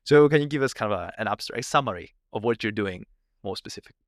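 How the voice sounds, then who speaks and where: A man hosting a podcast, asking a guest about his studies in a lively, chatty way and often repeating words as he starts. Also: fairly low pitch, fast, dry recording, studio quality. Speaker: podcast host. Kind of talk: casual interview questions.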